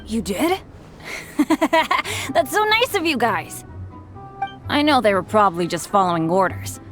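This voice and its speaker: A woman's voice: medium pitch, sing-song, unhurried, faint music behind. A woman voicing an earnest, self-deprecating teenage boy adventurer in a video game, youthful and apologetic in manner. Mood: relieved, grateful. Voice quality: boyish, youthful. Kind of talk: animated game voice acting.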